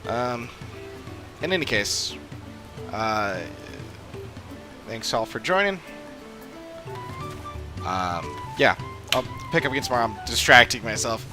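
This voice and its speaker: A man's voice: medium pitch, expressive, slow pace, pauses often. A man chatting casually and unscripted on a live game stream, rambling as he thinks aloud. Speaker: live streamer playing an online role-playing game. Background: game music.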